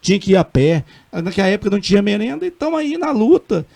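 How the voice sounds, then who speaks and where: A middle-aged man with a Minas Gerais accent speaks in a fairly high voice, earnest and emphatic as a councilman on a radio interview. He halts and restarts often but presses his points with conviction. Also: sing-song, fast, vocal fry, mellow. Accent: Minas Gerais Portuguese. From Brazil. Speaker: city councilman.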